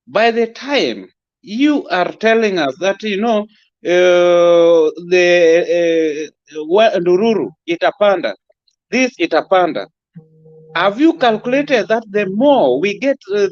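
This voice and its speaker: A man with a Kenyan accent making his points haltingly, deliberately and politely in an impromptu political discussion. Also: high-pitched, muffled recording.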